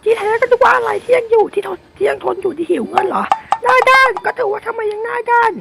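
A woman's voice: very high voice, fast, pitched above the performer's own voice, exaggerated voice. A woman voicing a disgusted citizen heckling in a game skit with screechy insults. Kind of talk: improvised game role-play skit. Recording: clear background noise.

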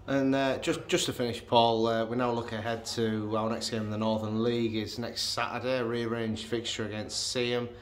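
Man, club interviewer, brisk questions, faint background ambience. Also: medium pitch, level tone, slight background noise. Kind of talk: post-match interview questions.

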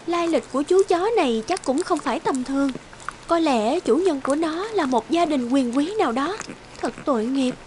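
A woman voicing a kind-hearted, timid young peasant girl in a fairy tale cartoon, gentle and earnest. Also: high voice, expressive, moderate pace, a little hiss or hum. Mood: gentle pity. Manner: gentle, earnest, easily startled.

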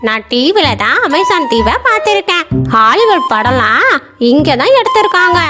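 Woman voicing an excitable high-pitched granny bird. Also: moderate pace, loud music behind the voice. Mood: excited, boastful. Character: excitable vain old grandmother bird obsessed with selfies.

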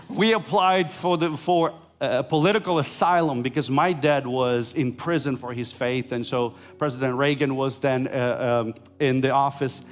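A middle-aged man from Ukraine with a Ukrainian accent, preaching a sermon on a large church stage, swinging between rising emphatic lines and quiet lowered ones. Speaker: pastor.

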